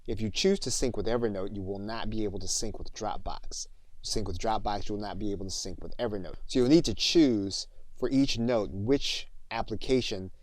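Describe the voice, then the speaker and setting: A man narrating an instructional software tutorial, explaining things step by step as a training instructor. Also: lowish voice, brisk, no room sound.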